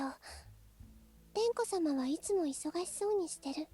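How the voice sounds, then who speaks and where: Super high little fox spirit girl, sweet n naive, real bouncy voice, visual novel, music behind. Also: warm tone. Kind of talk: Japanese visual novel voice acting.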